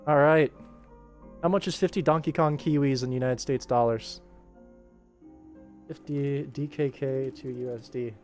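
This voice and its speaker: A man's voice: mid-range voice, fairly even intonation, unhurried, long pauses, darkish tone. Male streamer and animator, informal and rambling, thinks aloud as he works, mid-range voice with music underneath. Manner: informal, rambling to himself and viewers. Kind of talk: casual work-stream commentary, thinking aloud.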